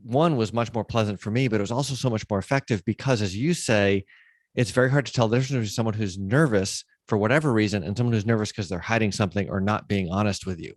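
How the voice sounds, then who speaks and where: A man's voice: on the low side, fast, no room sound, studio quality. A man hosting a conversational podcast interview, explaining his thoughts in a reflective way. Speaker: podcast host.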